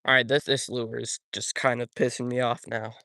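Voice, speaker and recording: Young guy rambling to his stream while fishing, regular pitch, dry sound. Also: flat delivery, moderate pace, pushed and bright, dry recording. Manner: casual, rambling, answers viewer chat. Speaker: fishing YouTuber. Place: outdoors by a pond.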